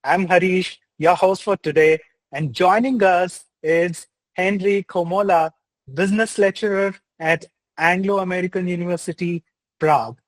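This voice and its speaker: A man's voice: sits high, fairly even intonation, measured pace, no room sound, rough recording. A young man hosting an interview, reading an enthusiastic intro and asking questions in an upbeat way. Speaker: host.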